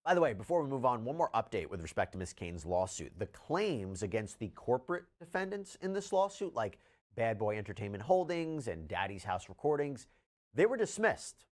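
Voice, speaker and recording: A man's voice: mid-range voice, big pitch swings, moderate pace. A man delivering scripted legal news commentary in an explanatory, emphatic way.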